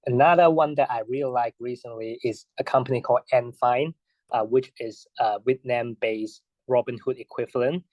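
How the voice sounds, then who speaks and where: A man in his thirties from Hong Kong, a guest answering interview questions in a halting way with many restarts and fillers. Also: mid-range voice, fairly even intonation, no echo, dull audio. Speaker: guest, investor.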